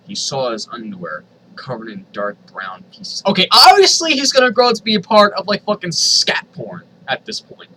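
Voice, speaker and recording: A man's voice: fairly high pitch, huge pitch swings, normal speed, bright, forceful tone, breathy edge, rough recording. A man reading a story aloud dramatically, with exasperated, sardonic asides. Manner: exasperated, sardonic, swears freely. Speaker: reader of online fan fiction.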